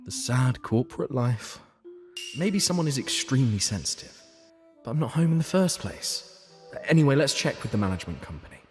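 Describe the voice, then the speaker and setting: A man's voice: mid-range voice, big pitch swings, fairly quick, soft background music, natural voice. A man playing an earnest, anxious young office worker who narrates his own story in a manga dub. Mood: uneasy, bewildered.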